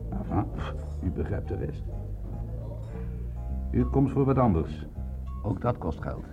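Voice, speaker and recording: Very deep-voiced, dry police inspector. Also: male voice, big pitch swings, slow pace, heavily acted. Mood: dry, sardonic. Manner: terse, dry, insistent questioning. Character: dry, worldly-wise male police inspector, probing and sardonic. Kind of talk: radio play acting. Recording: phone-like thin radio play recording with music.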